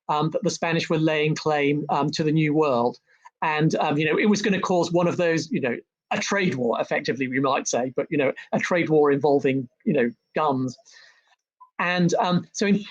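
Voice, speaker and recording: Male historian guest, slightly high voice, hesitant and full of fillers, muffled audio. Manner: hesitant, many ums and restarts. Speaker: historian and author, interview guest. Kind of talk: conversational interview about history.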